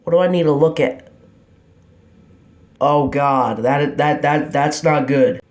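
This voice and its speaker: A man's voice: on the high side, slow, roomy sound. A man playing a blunt, foul-mouthed president in an amateur scripted drama. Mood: alarmed.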